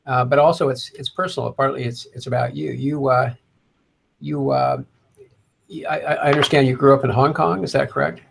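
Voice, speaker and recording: A man asking unscripted interview questions in a halting, tentative way. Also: mid-range voice, expressive, creaky, a little hiss or hum.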